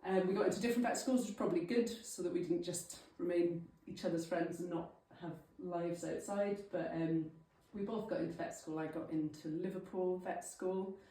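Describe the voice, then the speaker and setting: A woman's voice: on the low side, fairly even intonation, moderate pace, few pauses, mellow. A Welsh woman in her thirties, a native Welsh speaker, giving an informal, chatty, self-deprecating talk to a local group. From Wales. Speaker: guest speaker. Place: village hall. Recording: echoey hall, mic at a distance.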